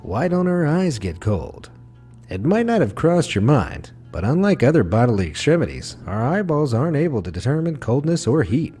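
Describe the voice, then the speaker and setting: A man reading a scripted facts video as narrator, with a smooth, wry delivery. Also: mid-range voice, sing-song, moderate pace, warm tone, soft background music.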